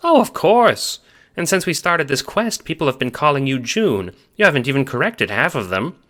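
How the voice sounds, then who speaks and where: A man voicing a stern yet warm old grandmother who teases fondly in an audio drama. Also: on the high side, sing-song, normal speed, pitched above the performer's own voice. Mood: reassuring. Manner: fussy, affectionate, hooting pet-name talk. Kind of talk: audio drama character acting.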